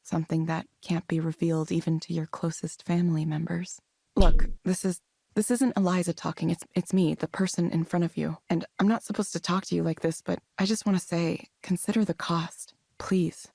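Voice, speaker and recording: An actress playing an earnest, caring counselor in a video game, speaking in a measured, gentle way. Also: female voice, fairly low pitch, little pitch movement, gravelly, husky, no room sound. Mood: earnest, pleading.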